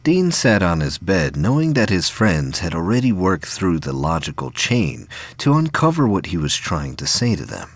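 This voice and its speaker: A man reading scripted narration for a science fiction audio play, with a husky voice. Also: sits low, big pitch swings, normal speed, hardly any pauses, dry recording.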